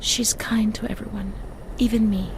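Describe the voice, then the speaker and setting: An actress playing a haunted, fragile former asylum patient in a video game, narrating her torment in a hushed, anguished, breathy way. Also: mid-range voice, steady tone, normal speed, background noise, close to an everyday voice. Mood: wistful, tender. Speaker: narrator and protagonist of a story game. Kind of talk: scripted dramatic first-person narration. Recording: voice under loud game music. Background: music.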